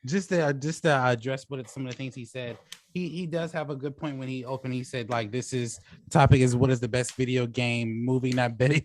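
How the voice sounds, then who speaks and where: A man moderating a debate show in a casual, affable way, often chuckling as he talks. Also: fairly high pitch. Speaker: debate show host and judge.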